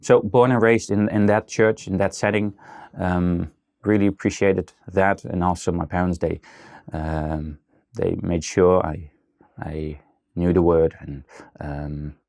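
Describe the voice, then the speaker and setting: Deep gravelly Dutch-accented man. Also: fairly even intonation, measured pace, few pauses, a little mellow. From Netherlands. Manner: hesitant, many fillers and restarts. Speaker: guest. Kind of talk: casual interview talk.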